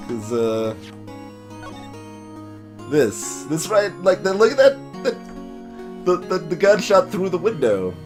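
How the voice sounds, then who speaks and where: A man commentates casually on a game at an easy, slightly slow pace, with lively pitch. He rambles and stammers as he thinks aloud, and music plays underneath. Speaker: let's player.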